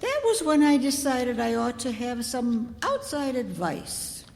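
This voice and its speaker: A woman's voice: a little high, sing-song, a little slow, vocal fry, darkish tone, long reverb tail, exaggerated voice. A woman playing a genteel, insistent, credulous married matron in an old-time radio detective drama. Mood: earnest, confiding. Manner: prim, wordy, talks over her husband.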